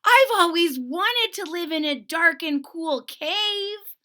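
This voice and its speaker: A woman's voice: extremely high pitch, expressive, slow pace, pushed and bright, voice pushed up, heavily acted. A woman voicing an eager, curious little toy bear boy full of wonder in a storybook reading. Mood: awed.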